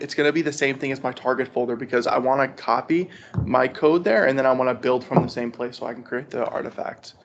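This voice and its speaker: A man presenting an informal technical walkthrough to a study group, conversational and often checking in with "right?". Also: medium pitch. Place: group meetup with video conference call. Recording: dull, lo-fi audio with background noise.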